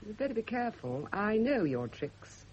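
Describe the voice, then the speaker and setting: A woman's voice: medium pitch, very animated, moderate pace, a little mellow, close to an everyday voice. A woman playing a stubborn, suspicious spinster in a radio drama, with a curt, accusing manner. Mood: paranoid, hostile. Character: suspicious, stubborn, brittle spinster, hostile to her carer. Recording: muffled old radio drama recording, faint hiss.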